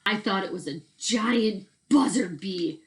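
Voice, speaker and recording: A woman voicing a timid, sheepish young male possum in a storybook, with a hesitant, drawn-out delivery. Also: mid-range voice, animated, a little hiss or hum. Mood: defensive.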